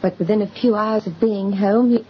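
A woman's voice: mid-range voice, fairly even intonation, normal speed, natural voice. An actress playing an anxious, genteel wife and mother in an old radio drama, fretful and hesitant about her husband. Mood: confiding. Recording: muffled, noisy old radio drama recording.

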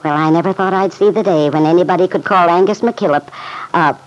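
An actress playing a kindly, shrewd aunt in an old-time radio soap, gently prodding in a homely, measured way. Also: female voice, fairly low pitch, lightly acted, rough recording. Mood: gently reproachful.

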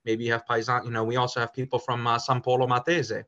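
A man's voice: mid-range voice, little pitch movement, quick pace, pushed and bright, muffled recording. A man who teaches Italian, talking casually on a podcast, rambling with many fillers and restarts. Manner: rambling, lots of "you know" and restarts. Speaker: Italian teacher and parish community member.

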